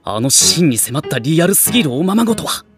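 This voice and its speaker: An actor playing a polite, easily flustered teenage hero in an anime audio drama, reacting with exasperation. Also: male voice, on the high side, big pitch swings, brisk, over music. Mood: bemused.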